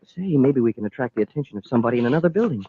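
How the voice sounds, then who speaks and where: An actor playing an eager, nervous young space cadet sidekick in a 1950s radio serial. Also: male voice, mid-range voice, expressive, very fast, natural voice. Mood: anxious. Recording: muffled old radio recording, faint noise.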